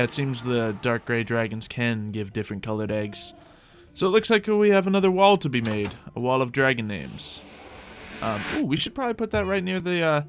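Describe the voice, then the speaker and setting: A man's voice: mid-range voice, fairly bright tone. A man hosting a gaming video, thinking aloud in casual gameplay commentary.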